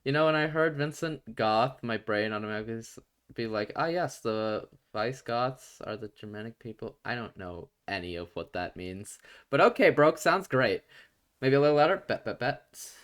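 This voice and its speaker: A man chatting casually on a game stream, talking to his viewers between quiet read-aloud passages. His voice sits at an ordinary middle pitch, low and relaxed when he reads, livelier when he laughs with chat. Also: normal speed, smooth.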